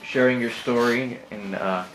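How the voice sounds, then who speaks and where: A man's voice: mid-range voice, steady tone, a little slow, fairly bright tone. A man interviewing a guest, encouraging, with frequent murmured acknowledgements. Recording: echoey room with background noise.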